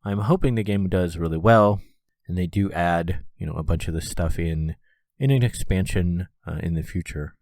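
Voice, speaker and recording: Man, deep voice, congested, casual podcast host, rambling, dry close sound. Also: moderate pace, darkish tone, dry recording. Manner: rambling, frequent "uh" and "you know". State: congested, stuffy nose. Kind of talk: casual solo podcast ramble about a video game.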